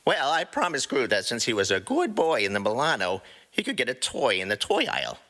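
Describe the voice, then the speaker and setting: A cocky little raccoon troublemaker, acted out by a man, with a bright, forceful edge and wildly swinging pitch. He sounds defensive and quick to snicker, like a comic audio drama rascal. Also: wildly swooping pitch, full character voice. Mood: cocky storytelling. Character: brash, snickering small male raccoon troublemaker.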